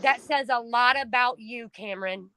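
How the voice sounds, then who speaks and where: A middle-aged woman talking on a home stream, loud and blunt, swearing freely, her voice climbing when she gets upset. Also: a little high, normal speed, smooth. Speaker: stream co-host. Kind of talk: emotional casual chat.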